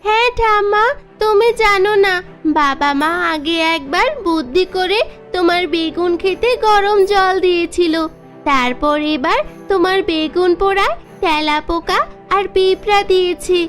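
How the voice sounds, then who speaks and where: Woman voicing little girl, cartoon, very high voice, earnest, faint kids' music. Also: a little slow, fairly bright tone. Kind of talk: cartoon dubbing. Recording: faint children's music behind.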